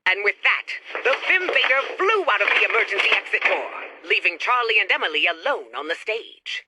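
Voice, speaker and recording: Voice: mid-range voice, animated, fairly quick, heavy background noise, natural voice. A narrator telling a radio drama story in a dramatic, storybook manner.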